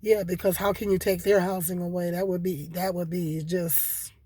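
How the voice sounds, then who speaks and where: A hoarse woman with a cold, talking casually and rambling to her viewers with many repeats and fillers. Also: on the low side, level tone, moderate pace, dark tone. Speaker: vlogger. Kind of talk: casual solo commentary.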